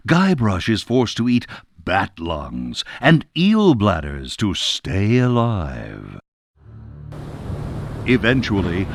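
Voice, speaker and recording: A storybook narrator for a pirate adventure game. He reads slowly and deliberately, with dramatic rises and falls and a wry edge. A faint rumbling noise sits behind the voice.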